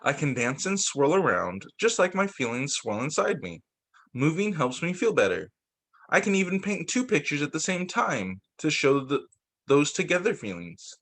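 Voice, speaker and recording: Man reading picture books aloud, lively pitch. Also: normal speed. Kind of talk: storybook read-aloud.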